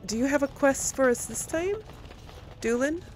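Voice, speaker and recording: A woman's voice: sits high, moderate pace, slight background noise. A woman hosting a let's play, chatting casually and thinking aloud as she comments on her game.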